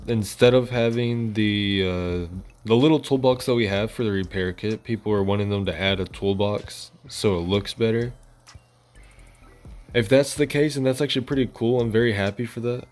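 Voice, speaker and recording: Low-voiced man doing casual gaming commentary. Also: darkish tone. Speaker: gaming channel host. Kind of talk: casual gameplay news commentary. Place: voice-over on gameplay footage. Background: music.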